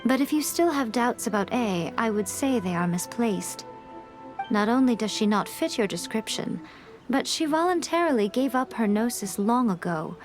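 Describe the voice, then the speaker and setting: A woman's voice: fairly high pitch, close to an everyday voice. Woman voicing a sly teasing fox spirit. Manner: poised, teasing, elegant. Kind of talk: game dialogue.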